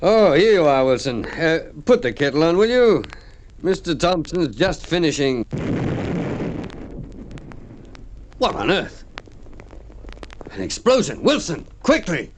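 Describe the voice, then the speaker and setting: A man's voice: on the high side, sing-song, measured pace, noisy background. A man playing a smug, suave company director with a glib, self-assured manner in a mid-century radio drama. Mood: startled, urgent.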